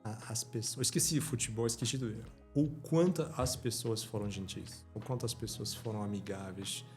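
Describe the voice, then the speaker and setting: A man's voice: fairly low pitch, normal speed, a little mellow, faint music behind. A middle-aged man from France, a podcast guest talking in a casual chat, earnest and emphatic, often repeating words as he speaks. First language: French.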